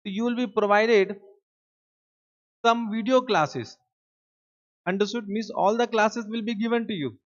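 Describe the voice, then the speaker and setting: A man's voice: high voice, measured pace, long pauses. A man with an Indian English accent, a native Hindi speaker, gives a classroom lecture. He often checks in with his students and repeats his key points. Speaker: teacher. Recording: dull lo-fi lecture recording.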